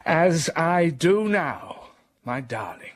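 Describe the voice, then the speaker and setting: An actor playing an older wealthy gentleman who is passionate, teasing and commanding. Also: on the high side, sing-song, slow pace, heavily acted. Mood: ardent. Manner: ardent, playful. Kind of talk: period radio drama.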